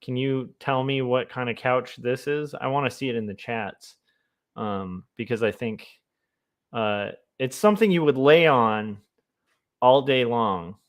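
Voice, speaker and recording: Rambling loud-voiced man chatting to viewers. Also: medium pitch, measured pace. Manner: rambling, chatty, many fillers. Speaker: comic artist streamer. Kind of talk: casual livestream chat while drawing. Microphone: desk mic close to the mouth.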